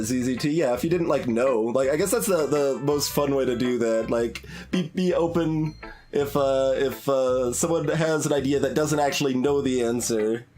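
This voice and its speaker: A man's voice: mid-range voice, a little mellow. Male game streamer, mid-range voice, casual and hesitant, thinking aloud over background music. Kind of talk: casual live playthrough commentary. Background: game music, guitar.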